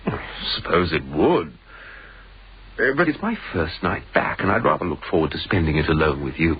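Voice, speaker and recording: An actor playing a blustering, demanding middle-aged British business boss in a radio drama. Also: male voice, rumbling low, very animated, normal speed, husky, full character voice. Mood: playful. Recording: dull, hissy radio drama recording.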